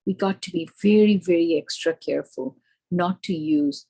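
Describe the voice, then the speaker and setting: Woman, teacher trainer, Indian accent. Explanatory delivery with a gravelly edge, often asking and answering her own questions. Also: on the low side, moderate pace, dark tone. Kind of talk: instructional presentation to teachers.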